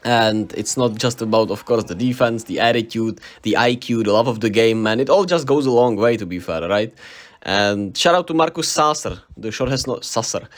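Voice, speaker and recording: A man's voice: medium pitch, normal speed. Czech-accented man rambling about basketball. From Czech Republic. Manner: enthusiastic, rambling, self-correcting on names. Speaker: basketball vlogger. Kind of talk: casual unscripted sports recap.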